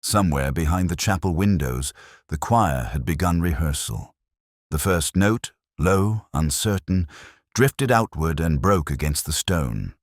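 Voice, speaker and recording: An extremely deep-voiced, melancholy detective played by a man narrates his own mystery story. His measured, literary delivery rises and falls widely as he broods. The sound is close and dry. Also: lively intonation, dry recording. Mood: brooding, reflective. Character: melancholy, reflective male police detective telling his story. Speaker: narrator in first person.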